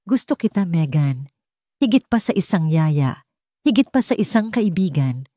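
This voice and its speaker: A woman's voice: fairly low pitch, very animated, normal speed, no room sound, clean studio sound. Woman reading as earnest, tender Filipino man in love. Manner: soft, hesitant, tender. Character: earnest, vulnerable wealthy man confessing love.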